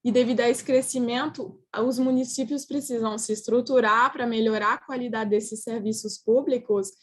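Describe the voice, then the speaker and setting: A native French woman presents in a semi-formal way with a French accent. Her voice is a bit high, and she speaks haltingly, searching for words. She is on a video call. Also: steady tone. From France. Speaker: company manager presenting as guest speaker.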